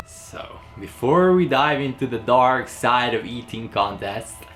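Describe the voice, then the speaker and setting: A man's voice: medium pitch, lively intonation, takes it slowly, music in the background. A young man with a Hungarian accent, guest-hosting with playful, sarcastic asides. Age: young adult. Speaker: guest host. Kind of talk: joking commentary.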